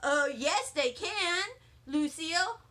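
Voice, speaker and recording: A woman's voice: very high-pitched, animated, slow pace, fairly bright tone, full character voice. A woman voicing a blunt, cheeky first-grade girl in a storybook, emphatic and stubborn in a childlike way. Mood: defiant.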